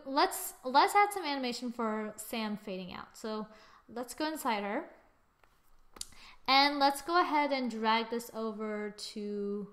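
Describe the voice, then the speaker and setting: A young adult woman giving a casual software tutorial, with a playful, exclaiming manner and little sing-song asides. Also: fairly high pitch. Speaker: tutorial presenter. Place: screen-recorded voice-over.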